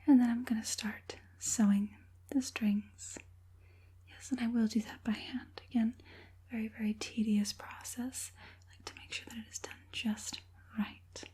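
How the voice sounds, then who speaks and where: A woman playing a softly menacing evil witch puppet master in an ASMR roleplay, whispering breathily with a coaxing, politely sinister manner. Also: on the high side, fairly even intonation, a little slow, slightly rough. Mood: meticulous, gentle.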